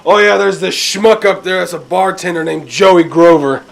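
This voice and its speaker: A man's voice: high-pitched, moderate pace, gravelly. A man playing a mocking, blunt police chief in an amateur radio drama. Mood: brusque. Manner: sneering, blunt, sarcastic.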